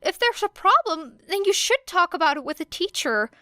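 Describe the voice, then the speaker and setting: A woman voicing a teenage boy hero student, nervous, stammering, high pitched, dry close sound. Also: moderate pace, no echo, heavily acted. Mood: hesitant. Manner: hesitant, stammering, then excitable.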